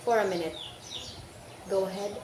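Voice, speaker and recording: A woman's voice: mid-range voice, moderate pace, darkish tone, close to an everyday voice. A woman playing a formal courtroom judge in amateur reader's theater, questioning in an echoey, noisy recording. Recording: echoey room, clear background noise.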